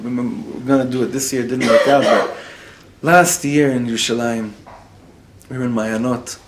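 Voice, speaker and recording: A man's voice: mid-range voice, fairly even intonation, moderate pace, very noisy. A man, a rabbi, teaching a live class to an audience in the room, mixing Hebrew and Yiddish words into his talk and putting questions to his listeners. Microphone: recorded for web students.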